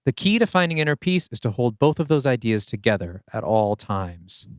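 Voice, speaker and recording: A man voicing a gentle, philosophical older male mystic in an audio play, speaking with earnest calm. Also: medium pitch, moderate pace, clean tone, no echo, studio quality, lightly acted. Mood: serene, earnest.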